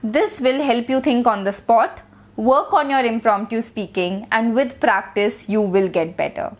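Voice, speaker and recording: A young woman whose first language is Hindi, giving steady, clearly spoken communication tips as a coach. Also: a little high, a little slow. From India. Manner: steady, instructive, clearly enunciated. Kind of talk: scripted advice talk to camera.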